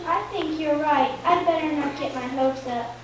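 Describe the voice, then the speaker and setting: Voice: high-pitched, normal speed, crowd noise, natural voice. A performer playing an earnest, hopeful, devout young child in a puppet show. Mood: curious, hopeful. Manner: earnest, wishful. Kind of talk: stage puppet show dialogue. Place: church, recorded from afar. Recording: very echoey, distant, loud-noise church recording.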